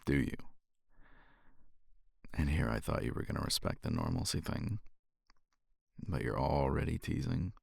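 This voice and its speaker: A man playing a cocky, flirtatious competitor in an intimate romance ASMR roleplay, murmuring teasingly with a gravelly, husky, breathy voice close to the microphone. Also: very deep voice, very animated, darkish tone, no echo, heavily acted. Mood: confident, teasing. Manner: teasing, unhurried, murmuring with sighs and pauses.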